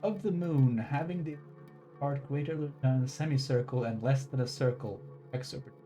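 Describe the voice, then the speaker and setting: A man's voice: mid-range voice, darkish tone. A man giving casual, rambling game commentary as a livestreamer, with a playful, wry manner.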